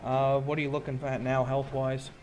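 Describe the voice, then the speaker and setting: A man's voice: mid-range voice, monotone, normal speed, darkish tone, dull audio, faint music behind. A man narrating a tabletop roleplaying game as game master, telling the action in a casual, descriptive way.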